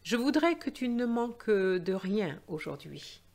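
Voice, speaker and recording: Woman reading as kindly Father Christmas, slow and lively. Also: medium pitch. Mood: kind, reassuring. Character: kindly Father Christmas, old man giving gifts and advice. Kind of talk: storybook read-aloud dialogue.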